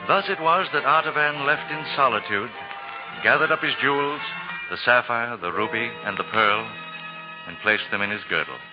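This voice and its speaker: A man's voice: medium pitch, normal speed. A man narrating a 1940s radio drama in a grand, poetic storytelling manner. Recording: dull vintage radio recording, loud music.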